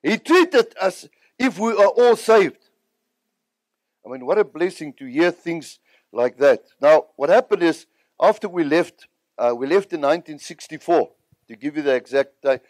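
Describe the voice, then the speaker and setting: High-pitched older South African man preaching. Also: animated, moderate pace, no echo. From Southern Africa, born in Zimbabwe, raised partly in South Africa. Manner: emphatic, repeats phrases for effect. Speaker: preacher giving a testimony. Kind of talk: sermon-like personal testimony, storytelling to an audience.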